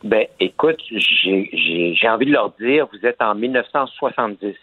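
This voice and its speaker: A man's voice: on the low side, expressive, breathy edge, no echo. Articulate man on a phone line. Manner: articulate, measured. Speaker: guest, actor and author. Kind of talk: radio interview.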